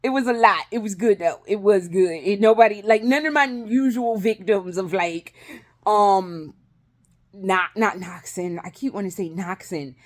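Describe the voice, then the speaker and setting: A woman's voice: medium pitch, moderate pace. A woman vlogging in a casual, rambling way, swearing freely, laughing often and restarting her sentences. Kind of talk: casual rambling vlog chat.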